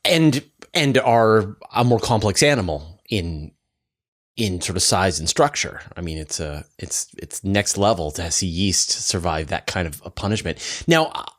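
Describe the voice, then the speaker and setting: A man's voice: deep voice, big pitch swings, unhurried, a bit bright. A man, talking conversationally as the interviewer on a science show.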